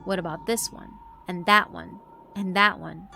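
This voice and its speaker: Woman acting mocking low-voiced female art-room yokai. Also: steady tone, moderate pace, creaky, bright, forceful tone, exaggerated voice. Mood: testing, impatient. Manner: mocking, challenging. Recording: music behind the voice.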